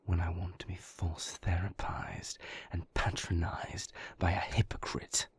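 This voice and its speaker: A man playing a moody, bitter vampire boyfriend in an intimate audio roleplay, breathy and husky, with sardonic, cutting, deliberate phrasing. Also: deep voice, flat delivery, heavily acted. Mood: sardonic, scornful. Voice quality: breathy, husky, gravelly half-whisper.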